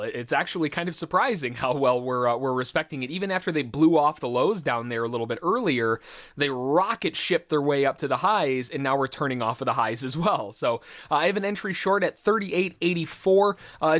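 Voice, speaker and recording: A man's voice: on the high side, fairly bright tone. A man working as a trading instructor, giving informal, explanatory live commentary full of trading jargon in a conversational way.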